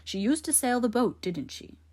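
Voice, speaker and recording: A woman voicing a composed, authoritative military gentleman in an audiobook, measured and dry yet kindly. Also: on the high side, big pitch swings, pitched above the performer's own voice. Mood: gentle, probing. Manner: measured, reassuring, questioning.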